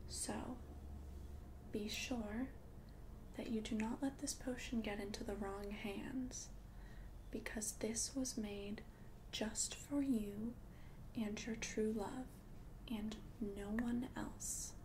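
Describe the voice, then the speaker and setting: A woman playing a calm, earnest potion-selling witch in an ASMR roleplay, whispering softly and breathily with slow, careful explanations. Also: medium pitch, noisy background, close to an everyday voice. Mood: gently cautioning.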